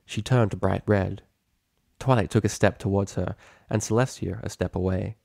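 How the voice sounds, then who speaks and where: A man's voice: lowish voice, fairly even intonation, normal speed, gravelly, darkish tone, no echo, clean studio sound. A man reading fiction aloud as a dramatic, scripted story narrator.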